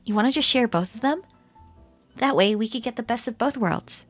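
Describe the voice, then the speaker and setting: A woman playing a chatty, teasing female best friend in casual, animated roleplay audio. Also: fairly high pitch, brisk, soft background music, lightly acted. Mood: cheerful.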